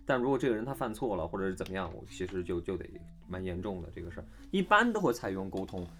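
Animated man chatting casually. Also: sits low, fast talker, soft background music. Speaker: voice actor guest on an audio drama chat. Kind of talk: casual chat.

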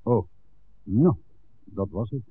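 An actor playing a curt, guarded café owner with an air of authority in a 1960s radio play. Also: male voice, fairly low pitch, expressive, takes it slowly, slightly rough, heavily acted. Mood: guarded, questioning. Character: village café owner, guarded boss of a secret operation. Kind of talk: 1960s Dutch radio drama acting. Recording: thin narrowband vintage radio, faint noise.

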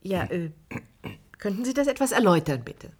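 A woman's voice: medium pitch, very animated, a little fast, a little mellow, breathy edge. Courteous, inquisitive female moderator. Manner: courteous, articulate, probing. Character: polite, inquisitive female science broadcast moderator. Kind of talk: radio play acting as a studio interview.

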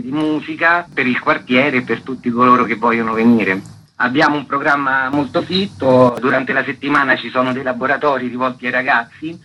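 A man speaks as an interview guest, explaining at length in a mid-pitched voice. The sound is lo-fi and dull, with some noise behind him. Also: sharp tone. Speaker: festival co-artistic director, interview guest. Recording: dull lo-fi radio line with clear noise.

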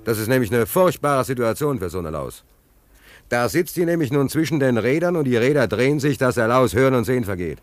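Excitable sailor with wildly swinging pitch, acted by a man. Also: fairly quick, natural voice. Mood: agitated lament. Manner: excitable storyteller, emphatic, colloquial, repeats himself. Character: agitated, stranded, naive sailor without papers telling his woes. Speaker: actor. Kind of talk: German radio play acting.

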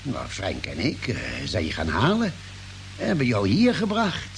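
An actor playing a probing, concerned ship's doctor in a space radio play, with inquisitive, hesitant phrasing and musing pauses. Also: male voice, on the low side, wildly swooping pitch, gravelly, heavily acted. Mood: reassuring. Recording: noisy, humming old radio drama recording.

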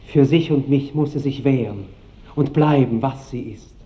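A man's voice: medium pitch, moderate pace, mellow, lo-fi sound, lightly acted. An actor playing a tender, protective young nobleman in love, speaking earnest lyrical verse in a 1960s radio drama. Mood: firm, protective. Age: young adult.

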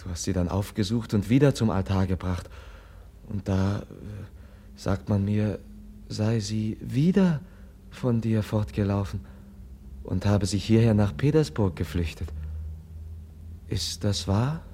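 An actor playing a gentle, compassionate young Russian nobleman in a German radio drama, earnest, halting and soft-spoken, with a husky voice. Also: on the low side, a little hiss or hum. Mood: earnest, measured.

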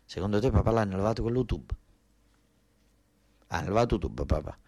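A man's voice: lowish voice, expressive, fast talker, a little mellow. An actor playing an agitated southern Italian son, a worker from Basilicata pleading with his father in a theatrical dialect monologue, repeating himself and stumbling over words. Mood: insistent, alarmed.

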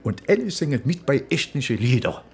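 Radio drama: enthusiastic, tender inspector, very lively intonation, insistent. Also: male voice, medium pitch, normal speed, gravelly, breathy edge, heavily acted. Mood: eager, persuasive. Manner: eager, insistent, repeats himself. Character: enthusiastic, tender male police inspector and choir member. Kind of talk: naturalistic radio drama acting.

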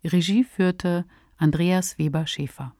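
A woman's voice: lowish voice, takes it slowly, very noisy. A woman hosting a radio podcast, presenting and explaining in a studio. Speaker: podcast host. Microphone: close studio microphone.

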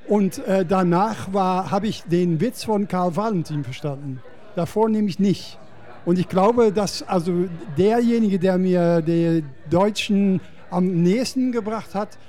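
A man's voice: sits high, warm tone. A man, a guest on a radio talk, telling a wry, self-deprecating anecdote.

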